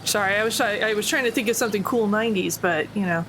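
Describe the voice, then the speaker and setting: A woman's voice: medium pitch, quick pace, a bit bright, a little hiss or hum, rough recording. A woman playing a tabletop game, chatting casually and tossing in playful quips.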